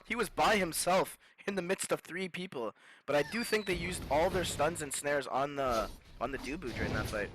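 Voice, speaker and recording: A man commentating a live esports match with excitable play-by-play. He is a little sick and sniffling. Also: normal speed. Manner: excitable play-by-play, rises high in fights. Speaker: esports caster. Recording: noisy live stream mix.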